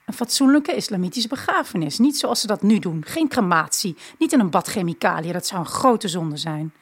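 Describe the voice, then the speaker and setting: A woman's voice: mid-range voice, animated, brisk, husky. A woman playing a devout, insistent elderly mother. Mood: indignant, firm. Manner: insistent, sprinkles pious phrases into speech. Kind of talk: audio drama reading.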